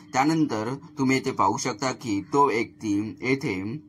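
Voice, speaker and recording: A man's voice: medium pitch, a bit bright. A man narrates a step-by-step tech tutorial as a channel host, explaining things to viewers as friends. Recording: clearly noisy voice-over recording.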